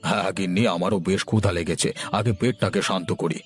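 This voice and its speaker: A man's voice: sits low, fairly even intonation, a little fast, soft background music, natural voice. A man voicing an ageing, affectionate, hard-working village husband in a cartoon story. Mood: weary, content. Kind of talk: Bengali cartoon story acting.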